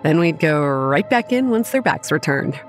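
An actress playing a self-assured young woman who manages a campground, narrating a horror audio drama in a measured, matter-of-fact way. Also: low voice, very animated, soft background music. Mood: measured, reminiscing. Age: young adult. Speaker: narrator and lead character. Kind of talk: scripted first-person horror drama narration.

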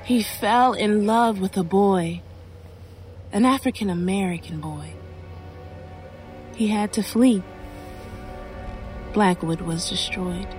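A woman's voice: medium pitch, slow pace, pauses often, darkish tone, lightly acted. An actress playing a guarded, reticent shopkeeper telling local ghost lore in a horror audio drama, with music behind her. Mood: somber recounting.

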